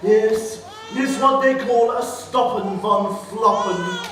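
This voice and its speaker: A man playing a bawdy, scatty middle-aged mother as an English pantomime dame, with campy, drawn-out comic delivery. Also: sits high. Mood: indignant, comic. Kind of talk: British pantomime stage acting. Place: theatre stage before an audience. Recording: echoey theatre stage, distant mic, faint noise.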